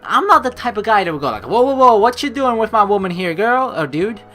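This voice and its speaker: A young woman doing a let's play, switching between rambling casual commentary and expressive read-aloud narration of a game. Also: mid-range voice, fairly quick. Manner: rambling, colloquial, playfully expressive. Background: faint game music.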